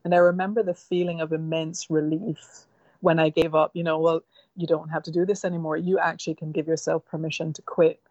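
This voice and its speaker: Middle-aged novelist with a mixed Jamaican-English accent; low, flat delivery, reflective, muffled lo-fi recording. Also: female voice, no room sound. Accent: mixed Jamaican, Caymanian and English. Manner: reflective, measured, with filler ums. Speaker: novelist guest. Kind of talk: interview conversation.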